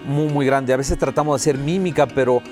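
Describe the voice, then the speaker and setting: A man with a Mexican accent voicing a deaf guest's words as an interpreter in a TV interview, steady and first-person with many "eh" fillers. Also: mid-range voice, fairly quick, soft background music.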